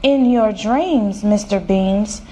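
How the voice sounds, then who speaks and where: A woman voicing a stern but fond mother cat in a children's storybook, firm and coaxing with drawn-out words. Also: mid-range voice, mellow, slight background noise, lightly acted. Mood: scolding.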